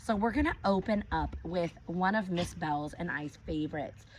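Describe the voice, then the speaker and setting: A woman teacher reading a picture book aloud to children, gently stopping to ask them questions. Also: lowish voice, normal speed. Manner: gentle, asks children questions between pages. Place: outdoors with her dogs. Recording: dull lo-fi recording with clear background noise.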